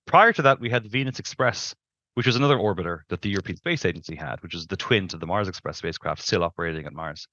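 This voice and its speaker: A man's voice: sits low, lively intonation, fast, bright, forceful tone, no echo, lo-fi sound. A middle-aged man explaining things as an expert interview guest, emphatic and discursive, on a headset in a video call. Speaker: planetary scientist, interview guest.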